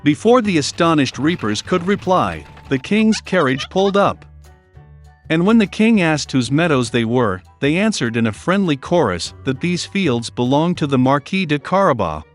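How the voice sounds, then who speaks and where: A man's voice: on the high side, sing-song, normal speed. A man reading a scripted fairy tale for children as a storyteller. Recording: soft music behind the voice. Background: background music.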